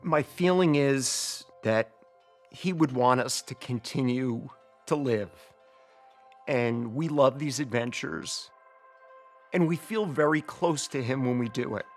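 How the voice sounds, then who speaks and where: A man's voice: mid-range voice, takes it slowly, soft background music. A middle-aged man speaking reflectively in a documentary interview. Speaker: interviewee, father.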